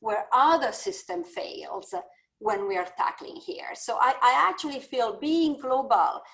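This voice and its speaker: A woman from Italy with an Italian accent, a business school dean speaking thoughtfully on a video-call panel, pausing often to find her words. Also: on the high side, lively intonation, fairly bright tone. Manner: thoughtful, searching for words with many fillers. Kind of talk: panel discussion.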